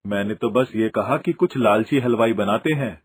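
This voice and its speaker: An actor playing a wily, grumbling but soft-hearted village father in a cartoon moral story, argumentative and wry. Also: fairly low pitch, normal speed, breathy edge, no echo. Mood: sly.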